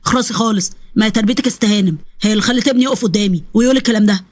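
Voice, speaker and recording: A woman's voice: medium pitch, rapid-fire, lightly acted. A woman voicing an abusive, threatening husband with an Egyptian Arabic accent and a harsh, scolding manner. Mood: menacing.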